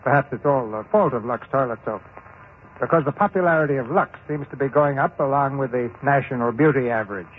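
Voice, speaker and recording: An American man introduces and narrates a 1940s radio play. He speaks in a formal, measured showman's style at a medium pitch. The sound is narrow and muffled, with faint background noise. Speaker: radio theater host and narrator. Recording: muffled, noisy old radio recording.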